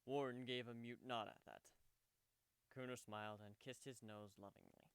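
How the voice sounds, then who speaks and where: A man's voice: mid-range voice, fairly even intonation, normal speed, clean tone, no room sound. A man narrating a scripted story as a dramatic reading.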